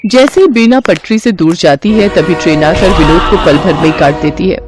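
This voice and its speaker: A woman's voice: on the low side, moderate pace, over music. Woman narrating a scripted moral tale. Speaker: story narrator.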